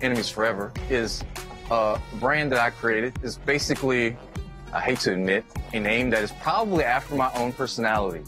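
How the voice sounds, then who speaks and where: A man from the United States, a clothing designer, talking in a casual, quick, conversational way in an interview. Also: mid-range voice. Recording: soft music underneath.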